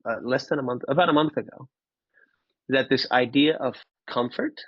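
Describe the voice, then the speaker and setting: A man, telling his personal story in an interview, candid and halting, often repeating words. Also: medium pitch, dry recording, highs cut off. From Finland. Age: 30s. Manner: candid, casually profane. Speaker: podcast guest.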